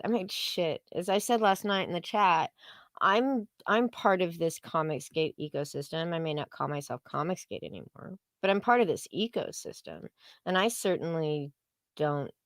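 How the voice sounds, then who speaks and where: Female livestream co-host, low pitch, very dry close sound, blunt and profane, casual opinion talk. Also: no echo.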